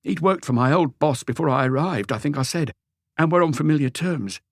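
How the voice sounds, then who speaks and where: A man acts out a lonely, betrayed husband in an audiobook, his voice pitched up and pleading. He confesses in a halting, rambling way, meek and anguished, in a dry, close recording. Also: lively intonation, no echo. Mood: wounded, hopeless. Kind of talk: audiobook character dialogue.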